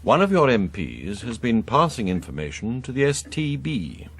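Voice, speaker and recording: An actor playing a discreet, composed British intelligence officer in a radio drama, clipped, courteous and precise. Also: male voice, medium pitch, wildly swooping pitch, normal speed, a little hiss or hum.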